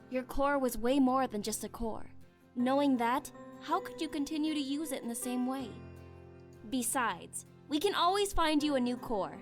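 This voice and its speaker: A woman's voice: a little high, close to an everyday voice. A woman playing a reserved, rational young female student in a visual novel, earnest and a little hesitant, awkwardly caring.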